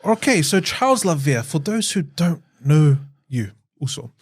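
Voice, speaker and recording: A man hosting a podcast interview, affirming his guest warmly and steering the talk with questions. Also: fairly high pitch, sing-song, unhurried, slightly rough, no echo, clean studio sound. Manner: warm and encouraging, with frequent affirmations. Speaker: podcast host.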